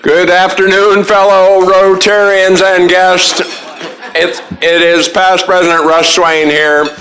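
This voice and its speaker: Earnest high-voiced man in his sixties. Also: little pitch movement, takes it slowly, sharp tone, poor audio. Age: 60s. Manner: formal, earnest, grateful. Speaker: club member, past president. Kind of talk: short self-introduction.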